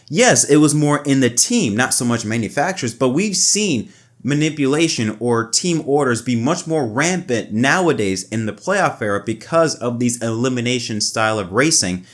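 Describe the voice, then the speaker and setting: A man hosting a motorsport video, giving opinionated race analysis in an emphatic way that often repeats phrases. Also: medium pitch. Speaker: motorsport commentary video host.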